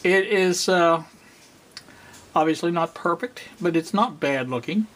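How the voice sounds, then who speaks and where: A middle-aged man giving a casual, unscripted demonstration, halting and rambling as he thinks aloud. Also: a little high, fairly bright tone. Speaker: hobbyist presenter. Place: indoor home workspace.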